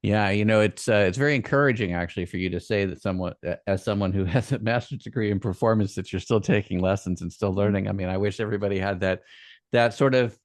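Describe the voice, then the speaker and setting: A man's voice: on the low side, fast, no echo, clean studio sound. A man hosting a podcast interview, asking questions in a conversational, encouraging way. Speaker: podcast host.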